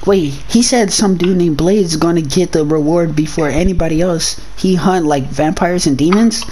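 A woman's voice: fairly low pitch, moderate pace, warm tone, slight background noise, lightly acted. A woman voicing a hot-headed teenage demon boy with fire powers, talking in brash, combative slang in an improvised game roleplay. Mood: worried, hushed.

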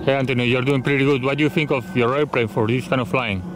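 A man's voice: mid-range voice, fairly even intonation, fast. A man mentoring a younger pilot outdoors, encouraging, brief and practical. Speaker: experienced backcountry pilot mentor. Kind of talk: casual chat. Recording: outdoor noise behind.

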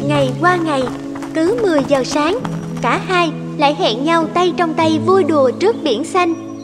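A woman's voice: very high voice, moderate pace. A woman narrator reading a scripted storybook tale. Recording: loud music under the voice.

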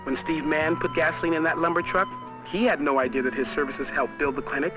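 A man's voice: fairly high pitch, steady tone, fast, music in the background, close to an everyday voice, rough recording. A young American man playing a cheerful college student who narrates a 1970s educational film in a folksy, chatty way. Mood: explanatory. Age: young adult. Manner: folksy, chatty, addressing the viewer. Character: cheerful young college student guiding viewers round his street. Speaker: on-camera narrator.

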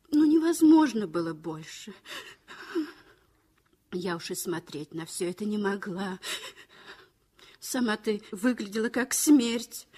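A motherly woman with a mellow, breathy voice, speaking slowly and consolingly. It sounds like an old radio play. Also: medium pitch. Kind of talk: 1960s radio drama acting.

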